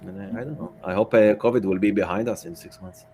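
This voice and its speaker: A man speaking as a podcast guest in casual conversation, with halting, choppy phrasing and slightly irregular English grammar. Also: fairly even intonation, a little mellow, soft background music. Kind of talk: casual interview chat. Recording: noisy call with low hum.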